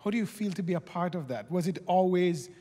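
High-voiced man in a reverberant hall. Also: lively intonation, fairly quick, warm tone. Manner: playful, laughs often. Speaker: interviewer. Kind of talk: onstage interview. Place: large auditorium stage. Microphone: handheld mic.

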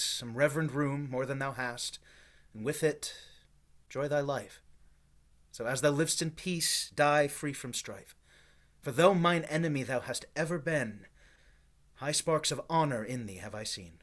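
A man playing a newly crowned king in a Shakespeare reading, formal and rising to forceful declamation. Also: mid-range voice, a little slow, long pauses, natural voice. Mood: regal. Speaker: actor in a staged reading. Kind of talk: Shakespeare reading over video call. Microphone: video call webcam.

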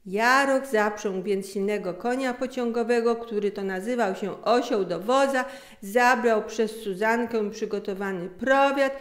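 Slow, echoey woman storyteller. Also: fairly high pitch. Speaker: author reading own story. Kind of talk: expressive scripted story narration. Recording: echoey room.

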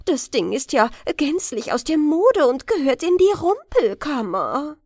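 A woman storyteller plays a snobbish society aunt from a fairy tale. She exclaims haughtily in a high, swooping voice, slowly and with great self-importance. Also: big pitch swings, darkish tone, no room sound, studio quality, heavily acted. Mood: disdainful, gushing. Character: posh, snobbish aunt visiting from the capital.

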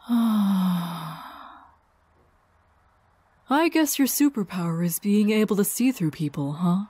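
Slow-talking, grief-worn, sardonic woman scientist acted. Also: mid-range voice, lively intonation, dark tone, slightly rough, no echo. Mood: wry deflection. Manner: halting, wry, emotionally guarded. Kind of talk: fan audio drama acting.

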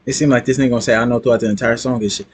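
A man's voice: medium pitch, fairly even intonation, very fast, a little hiss or hum. A man giving casual reaction commentary as a music streamer, talking in slang and swearing freely. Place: small streaming room indoors.

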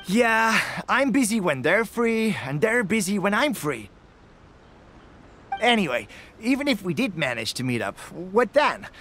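High-voiced, animated loyal soldier. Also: male voice, measured pace, slight background noise, heavily acted. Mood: sheepish. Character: loyal male soldier, cheerful, eager brother in arms. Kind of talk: scripted video game voice acting.

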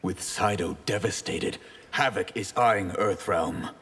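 A proud sorcerer in a fighting game. His voice is lowish and very raspy, slow and portentous, set in a reverberant space. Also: a bit bright, full character voice. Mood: ominous, proud. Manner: portentous, formal. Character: proud, ominous hooded mage. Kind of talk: dramatic fighting game dialogue. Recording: reverberant hall-like game mix.

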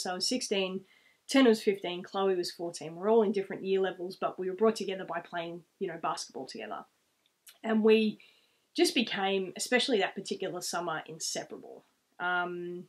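Rambling Australian woman telling personal stories. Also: medium pitch. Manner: rambling, reflective. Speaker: vlogger. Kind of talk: casual storytelling to camera. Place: small room at home, indoors, seated.

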